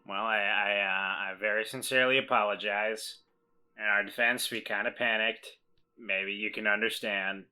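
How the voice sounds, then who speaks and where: A man playing a tabletop roleplaying game, talking casually in a hesitant, deliberate way. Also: fairly low pitch, fairly even intonation, sharp tone, clean tone. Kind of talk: casual game chat, some in-character talk.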